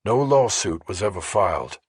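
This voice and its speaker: A man voicing a measured, matter-of-fact female investigative journalist in an audiobook, explaining things carefully. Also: fairly low pitch, sing-song, breathy edge, no echo, clean studio sound, exaggerated voice. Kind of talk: audiobook character dialogue.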